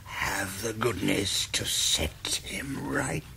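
An actor playing a pompous, bullying schoolmaster in a period radio drama, with a hoarse English voice and mock-polite, domineering manner. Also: male voice, lowish voice, takes it slowly, pushed and bright, full character voice. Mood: icy menace. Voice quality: hoarse whispering voice. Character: menacing male schoolmaster, pompous bully with sarcastic courtesy.

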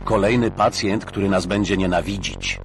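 A young man playing a lanky, well-spoken, smug teenager in a cartoon sketch, over loud music. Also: on the low side, steady tone, normal speed, close to an everyday voice. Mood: matter-of-fact.

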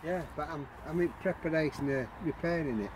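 A man's voice: fairly high pitch, a little fast, a little mellow. A man, chatting plainly and bluntly in short phrases as he explains gardening on an allotment. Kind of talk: casual explanatory chat. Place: open-sided greenhouse on an allotment. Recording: noisy handheld outdoor camera audio.

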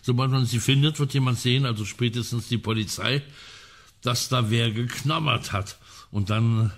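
A man acting in a German radio crime drama as a gruff, sardonic colonel who muses aloud emphatically. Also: mid-range voice, moderate pace, lightly acted. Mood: musing, excited. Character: gruff, sardonic male colonel in an amateur sleuthing trio.

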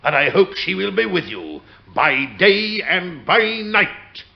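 A stern British judge in a period courtroom radio play. His highish voice swings widely as he speaks with scornful, theatrical formality. The vintage recording is dull. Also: expressive, takes it slowly, gravelly, exaggerated voice. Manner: imperious, theatrical, archaic formal diction. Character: stern, scornful Lord Chief Justice of old England.

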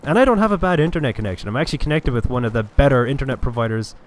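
A man's voice: mid-range voice, expressive, racing, a little hiss or hum. A chatty man from Cork with an Irish accent, giving casual commentary on a shooter game and swearing now and then. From Cork, Ireland. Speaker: gaming channel host. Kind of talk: casual first-person shooter walkthrough commentary. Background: game music and gunfire.

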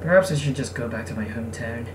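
A man's voice: medium pitch, animated, fast talker, reverberant, distant mic, background noise, pitched below the performer's own voice, close to an everyday voice. A man voicing a struggling, sarcastic young male craftsman in a visual novel game. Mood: weary grumbling. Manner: weary, sarcastic grumbling. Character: grumpy, struggling young male craftsman, laid-back at heart.

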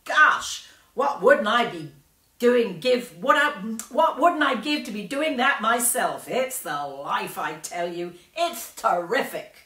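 A woman voicing an excitable, bad-tempered nine-year-old boy in a storybook, loud and impatient. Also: a little high, takes it slowly, voice pushed up, heavily acted. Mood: excited. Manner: shouting, impatient, gushing. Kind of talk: storybook character reading. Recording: roomy, distant microphone.

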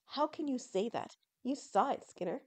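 A woman's voice: high voice, animated, normal speed, pitched above the performer's own voice. A woman voicing a devoted, fussy young male fox creature in an audio story, earnest and full of questions. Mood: indignant.

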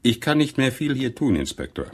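An actor playing a professional police doctor in a German crime radio play, factual and formal. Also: male voice, medium pitch, big pitch swings, brisk. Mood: clinical. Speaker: actor in an audio drama.

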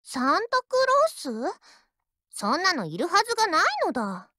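A woman's voice: sits high, very animated, normal speed, sharp tone, dry recording, clean studio sound, heavily acted. A woman voicing a haughty, childish anime warship girl in a mobile game, boastful and tsundere in manner. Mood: upset, pleading. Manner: boastful, tsundere, ends sentences with a verbal tic. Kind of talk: Japanese anime game character voice lines.

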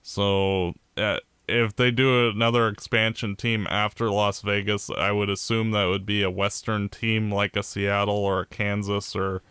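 A Canadian man co-hosting a podcast, giving blunt opinions in casual sports chat, with halting restarts. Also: on the low side, flat delivery, a bit bright, clear, resonant tone, no echo. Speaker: podcast co-host.

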